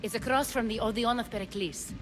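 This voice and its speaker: A composed noblewoman of ancient Greece, voiced by a woman, with a somewhat high voice. She is articulate, earnest and proud. Music plays clearly behind her in this game cutscene. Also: a little fast, lightly acted. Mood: concerned. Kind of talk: dramatic video game acting.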